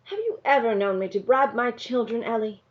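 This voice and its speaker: A woman's voice: a little high, lively intonation, brisk, lo-fi sound, natural voice. A woman voicing a weary, earnest mother in a storybook read-aloud, pleading and emphatic. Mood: weary, firm. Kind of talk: storybook character dialogue.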